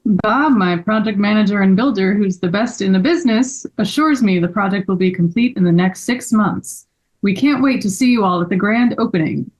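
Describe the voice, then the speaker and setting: A woman's voice: mid-range voice, moderate pace, close to an everyday voice, low-quality recording. A woman playing an earnest, polite, grateful young male shop owner in a table read. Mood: grateful, formal.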